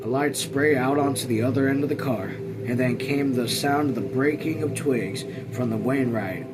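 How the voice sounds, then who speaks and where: A man reading a novel aloud informally, halting now and then and repeating phrases. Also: mid-range voice, heavy background noise. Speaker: reader of a read-along video channel.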